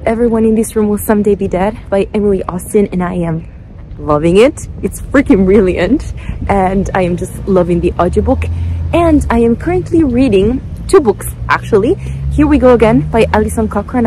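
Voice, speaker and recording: A woman's voice: mid-range voice, dark tone, rough recording. A chatty woman in her thirties vlogs while walking to work, holding her phone at arm's length. Faint street traffic hums behind her outdoor recording. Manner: chatty, upbeat. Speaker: book vlogger. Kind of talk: casual walking vlog. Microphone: handheld phone at arm's length.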